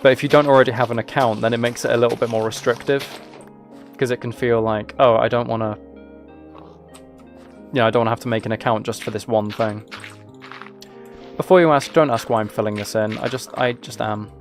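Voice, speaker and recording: A man's voice: medium pitch, fairly even intonation, brisk, pauses often, soft background music. A man chatting casually on a game livestream, rambling and thinking aloud as he plays. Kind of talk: casual chat while playing a game.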